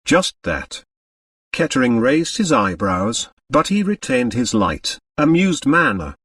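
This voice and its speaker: Animated male audiobook narrator. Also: mid-range voice, unhurried, husky, no room sound, far from the mic. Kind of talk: scripted narration of a novel.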